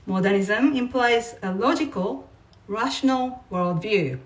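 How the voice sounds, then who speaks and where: A woman reading non-fiction aloud in a measured, deliberate way, like a writer presenting a text. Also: a little high. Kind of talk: read-aloud of non-fiction with brief informal asides. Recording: voice distant from the mic.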